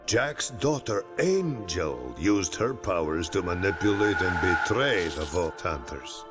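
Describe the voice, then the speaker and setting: An actor playing a theatrical, wry storyteller, narrating a video game storybook tale dramatically and deliberately. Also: male voice, low-pitched, very animated, full character voice. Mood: solemn, dramatic. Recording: loud music behind. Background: music.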